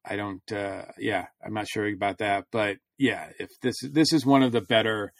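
A man's voice: on the low side, fairly even intonation, moderate pace, creaky, no room sound. A man on a podcast panel talking through a casual review, rambling a little as he thinks aloud.

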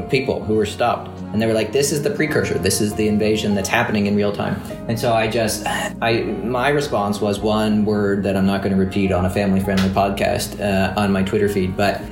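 Low-pitched male professor, discursive podcast guest, roomy echo with music under it. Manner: discursive, many restarts and asides. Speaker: professor of international relations. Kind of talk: podcast conversation.

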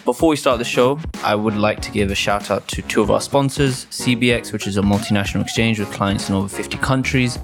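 A man's voice: fairly low pitch, fairly even intonation, fast talker, few pauses, music in the background. A man hosting a podcast, reading a scripted intro and then moderating a live panel before an audience at an expo booth. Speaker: podcast host.

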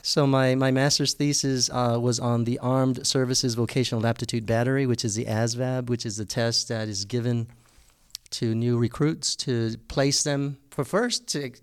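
A man's voice: mid-range voice, fairly even intonation, moderate pace, clear, resonant tone, no echo, clean studio sound. An American man chatting as a guest, explaining things at length and laughing often. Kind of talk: casual interview chat.

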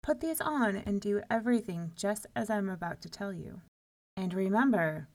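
A woman's voice: mid-range voice, normal speed, close to an everyday voice. Woman playing a sweet-talking, sly giant's wife. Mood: urgent, instructing. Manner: sweetly coaxing, feigned innocence.

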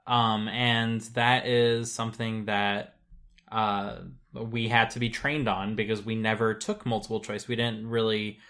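Relaxed speaker. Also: mid-range voice, measured pace. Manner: relaxed, reflective, frequent ums and uhs. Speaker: programmer. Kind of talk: casual conversation.

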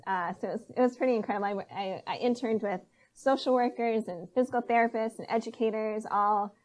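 A woman's voice: a little high, brisk. A woman in her thirties from Massachusetts, chatting casually as an interview guest, with many fillers and frequent laughs. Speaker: guest researcher.

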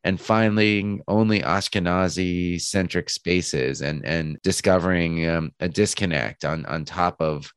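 A hoarse man with a cold, hosting a podcast from his home studio, talking in an earnest, thoughtful way with frequent restarts. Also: low voice, unhurried, hardly any pauses, fairly bright tone, no echo. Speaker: podcast host. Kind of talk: podcast introduction.

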